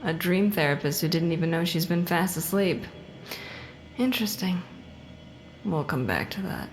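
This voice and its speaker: An actress playing a vengeful, coldly controlled female patient in a psychological horror audio drama, mocking and deliberate, often dropping into breathy murmurs. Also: fairly low pitch, lively intonation, a little hiss or hum, close to an everyday voice. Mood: taunting.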